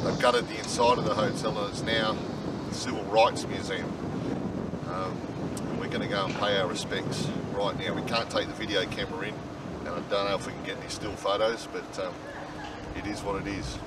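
A man's voice: fairly low pitch, flat delivery, brisk. A middle-aged man narrating his travels casually to a camera held at arm's length outdoors. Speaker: travel vlogger. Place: outdoors by motel and city streets. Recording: noisy outdoor camcorder audio, street sounds.

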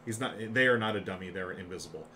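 Low-voiced middle-aged man, chatty game host. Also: quick pace. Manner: enthusiastic, chatty. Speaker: host of a board game live stream. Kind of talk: casual live-stream chat and game demonstration. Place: small room lined with board games. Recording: clear steady background noise.

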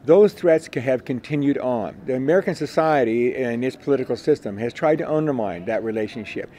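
Older man interviewee, mellow dark tone, halting delivery with restarts. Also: medium pitch, a little hiss or hum. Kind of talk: interview answers.

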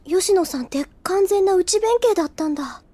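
Good-natured, easily surprised high school girl, very high register, hesitant, anime audio drama. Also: expressive, normal speed, dark tone, no echo. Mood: thoughtful.

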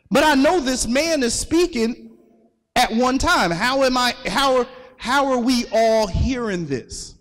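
High-voiced preacher in an echoing hall. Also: male voice, a bit bright. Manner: calls for readings and repeats the verses back to the congregation. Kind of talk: sermon teaching from scripture. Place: large hall at a religious gathering. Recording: long echo of a large hall.

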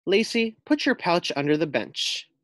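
A man's voice: high voice, sing-song, normal speed, a bit bright, no echo, clean studio sound, pitched above the performer's own voice. A man voicing a cheery female collie camp counselor in a children's storybook, organizing the campers. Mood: instructing.

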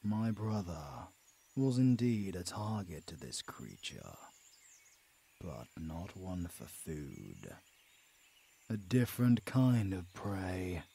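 A man plays a commanding British dark lord in a fantasy audio drama. He is cold and imperious, drawing words out very slowly in a breathy, husky voice that swings in pitch. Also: sing-song, heavily acted. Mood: cold menace. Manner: menacing, deliberate, imperious.

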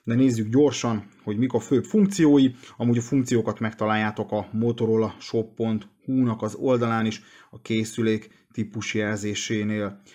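A man's voice: fairly low pitch, fairly even intonation, a little mellow. A man presenting a casual, hands-on product unboxing and walkthrough.

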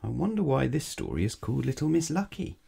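A man's voice: medium pitch, very animated, quick pace, creaky, mellow, husky. A man reading a children's bedtime story aloud, dramatically, stretching and stressing words. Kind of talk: expressive read-aloud bedtime narration.

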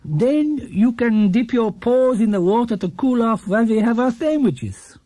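Man voicing a kindly, courteous old gentleman, lifted in pitch. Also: lively intonation, a little slow, no echo. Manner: courteous, enthusiastic. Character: kindly elderly gentleman, polite and gently excitable.

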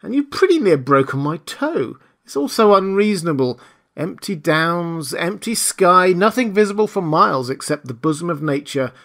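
A man doing a flustered rustic tramp, high and excited. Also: moderate pace. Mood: flustered, astonished. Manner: excitable, rustic old-fashioned speech. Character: flustered rustic male tramp, easily frightened. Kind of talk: audiobook character reading.

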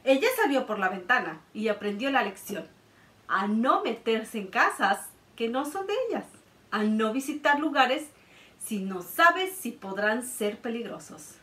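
A woman in her thirties reading a picture book aloud to children, expressive and sing-song. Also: fairly high pitch, measured pace. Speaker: children's librarian storyteller. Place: indoor room by a felt board. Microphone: camera a few feet away. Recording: roomy sound from a distance.

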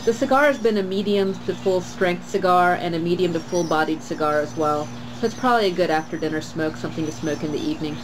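A woman in her thirties reviewing a cigar to camera on a porch, in a calm, measured way with frequent pauses. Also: lowish voice, noisy background. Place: screened porch outdoors, trees behind.

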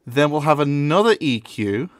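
A man presenting a software tutorial in an offhand, informal way, as a casual voice-over. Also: on the high side, sing-song, moderate pace, no room sound, studio quality.

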